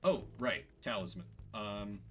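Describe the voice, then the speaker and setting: A man giving casual, excitable commentary while playing a video game. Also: fairly low pitch, unhurried, soft background music. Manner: animated, excitable.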